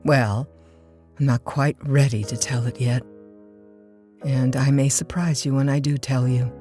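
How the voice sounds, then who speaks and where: Coy discontented wife, very unhurried delivery, money-hungry, audio drama, light music behind. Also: female voice, a bass voice, dark tone. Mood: coolly knowing.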